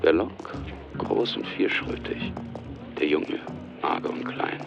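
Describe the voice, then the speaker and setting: Deep gravelly male narrator. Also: lively intonation, slow, lo-fi sound, music in the background. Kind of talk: scripted present-tense radio drama narration.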